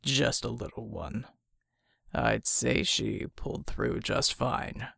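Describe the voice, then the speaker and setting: Lady doing a gruff superhero guy for an audiobook, super gravelly and husky, steady, few words. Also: very deep voice, slow pace, no echo, studio quality, pitched below the performer's own voice, heavily acted. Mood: calm, reassuring. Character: gruff, steady wounded male superhero comrade, sparing with words. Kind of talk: audiobook character dialogue.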